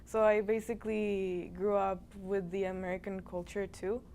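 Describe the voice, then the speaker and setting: Young woman from Mexico, mid-pitched. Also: level tone, normal speed, vocal fry, mellow, slight background noise. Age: young adult. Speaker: student cellist. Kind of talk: casual interview answers.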